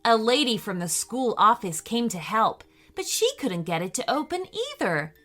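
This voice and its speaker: A woman narrating a children's bedtime story in an expressive, deliberate way. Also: on the high side.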